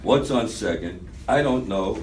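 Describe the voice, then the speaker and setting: An older man playing the deliberate, explanatory straight man in a vintage comedy routine, reading it as amateur radio theatre. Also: on the low side, expressive. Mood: matter-of-fact, insistent. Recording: roomy, distant mic, faint noise.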